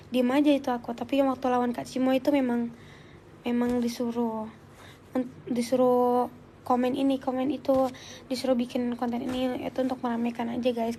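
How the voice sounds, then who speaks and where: Young female livestreamer, high voice stuffy with flu, slangy casual chat, noisy phone audio. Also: warm tone. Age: young adult. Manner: informal, slangy, chatty. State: congested with flu. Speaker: livestreamer answering viewer comments.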